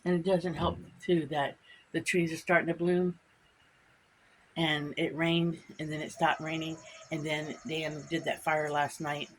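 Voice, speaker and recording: A woman's voice: sits low, flat delivery, unhurried, a little hiss or hum, poor audio. Stuffy-nosed woman, rambling chat. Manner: chatty, rambling and repetitive. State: stuffed up from allergies. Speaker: vlog host. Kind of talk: casual chat to camera.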